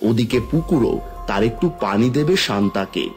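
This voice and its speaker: Lively male fairy tale narrator. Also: fairly low pitch, moderate pace. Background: background music.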